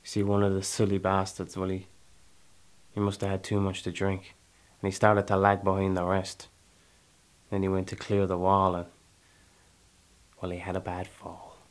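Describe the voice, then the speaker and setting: A man with an Irish accent acting a dramatic monologue as a proud, brooding, restless settler who has killed, speaking deliberately with pauses. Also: sits low, monotone, natural voice. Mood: quiet, cold.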